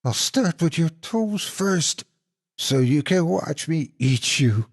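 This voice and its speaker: A man voicing a menacing female monster in a horror folktale, taunting and threatening in a drawn-out delivery. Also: a little high, animated, voice pushed up, exaggerated voice. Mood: menacing, vengeful. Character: menacing female clawed monster posing as an auntie.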